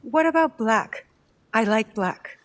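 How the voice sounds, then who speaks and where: An earnest young shinobi. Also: female voice, a little high, lively intonation, slow pace. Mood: polite, reserved. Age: young adult. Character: earnest, guilt-burdened young female shinobi, dutiful daughter. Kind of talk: dramatic video game cutscene acting.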